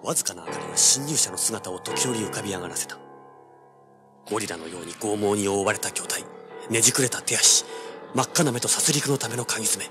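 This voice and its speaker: Actor as brash, fast-talking young treasure hunter. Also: male voice, lowish voice, loud music behind the voice, natural voice. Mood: tense, urgent. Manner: brash, threatening, hard-boiled. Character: cocky, ruthless young male treasure hunter hero. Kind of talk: dramatic radio drama acting with first-person narration.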